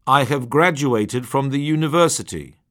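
A man reading English phrases one by one, slowly and distinctly, for a language lesson. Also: mid-range voice, a bit bright. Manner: reads each word or phrase slowly and distinctly. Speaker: phrase reader.

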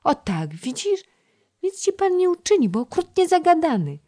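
A tiny chatterbox girl, squeaky high and bouncy, always coaxing. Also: moderate pace. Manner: high, lively, coaxing childlike voice. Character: chatty little girl, a playful young princess's ward.

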